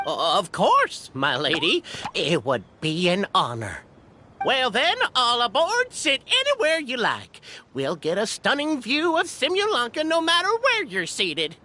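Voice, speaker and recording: A woman's voice: a little high, wildly swooping pitch, a little slow, pushed and bright, slight background noise, exaggerated voice. A woman voicing an eager, courteous little male toy train conductor with formal, deferential speech in a video game. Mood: courteous, welcoming.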